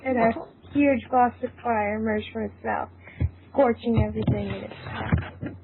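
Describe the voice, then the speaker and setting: Thin phone-line sound, high-voiced woman narrator. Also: steady tone, normal speed, vocal fry, noisy background. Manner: informal, breaks into giggles, stumbles over lines. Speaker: narrator of a fan fiction reading. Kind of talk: scripted story reading with giggly ad-libs.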